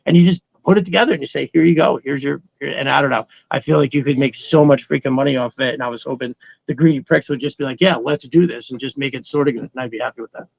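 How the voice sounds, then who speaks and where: A man's voice: mid-range voice, quick pace, gravelly, no room sound, rough recording. A man chatting casually and emphatically as a podcast co-host, swearing freely and rambling through his opinions. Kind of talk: casual opinionated fan chat.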